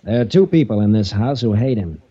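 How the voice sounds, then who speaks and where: An anxious serious pal, mellow voice, dull noisy old radio sound. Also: male voice, fairly low pitch, animated, a little fast, breathy edge. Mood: grim. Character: worried, earnest adult male friend. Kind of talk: 1940s radio drama acting. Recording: muffled old radio broadcast with faint noise.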